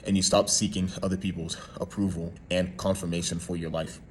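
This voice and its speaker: A man speaks in a low, steady voice with little rise and fall. His motivational talk sounds calm and assured. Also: brisk, a little hiss or hum.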